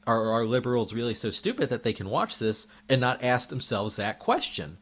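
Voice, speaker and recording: Man, political commentator, indignant, rhetorical questions, voice going hoarse, animated swooping pitch. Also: normal speed. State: losing his voice. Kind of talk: opinion monologue.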